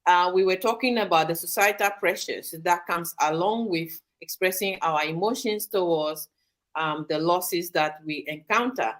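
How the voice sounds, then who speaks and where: A woman hosting a live online talk show, speaking in a measured way with frequent pauses and fillers. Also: on the low side. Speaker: host. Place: studio or home in Sydney, Australia.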